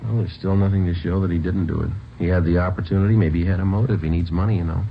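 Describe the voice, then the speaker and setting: A man's voice: low voice, fairly even intonation, quick pace, creaky, a little hiss or hum. A man playing a stoic police sergeant in a radio drama, narrating and talking in a clipped, matter-of-fact way. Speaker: narrator and lead police detective. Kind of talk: 1950s radio drama acting. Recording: dull old radio recording, music behind.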